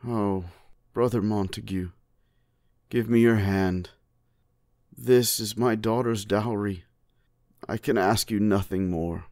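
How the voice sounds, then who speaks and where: Man playing an aged grieving lord, slow, lowish voice, conciliatory, audio tragedy. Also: steady tone, darkish tone, no echo, studio quality. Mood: sorrowful. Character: slow, mournful old lord reconciling with rival. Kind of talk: modernized Shakespeare audio drama acting.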